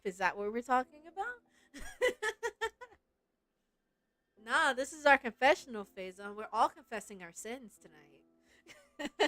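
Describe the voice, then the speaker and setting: A woman with a fairly high voice streams a story game. She is playful and teasing, moving between casual chat and reading lines aloud. Light music plays behind her. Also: fairly bright tone, dry recording.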